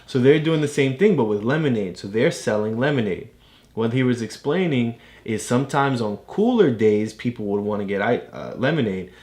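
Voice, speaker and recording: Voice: medium pitch, expressive, normal speed, darkish tone. A parent casually explaining a book to a child, encouraging and repeating points.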